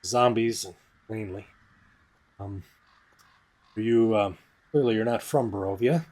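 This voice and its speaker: A man's voice: on the low side, a little slow, darkish tone. A man voicing a tired, courteous male village leader in a tabletop game. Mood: weary, courteous.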